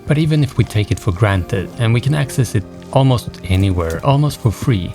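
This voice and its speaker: A man's voice: medium pitch, lively intonation, fairly quick, music in the background. A man narrating a documentary from a script in the first person, as the filmmaker.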